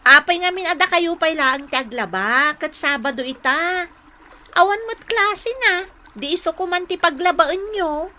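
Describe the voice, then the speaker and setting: An actress playing a brisk, chiding young schoolmate in an Ilocano radio drama. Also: female voice, extremely high pitch, animated, sharp tone, heavily acted. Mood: helpful.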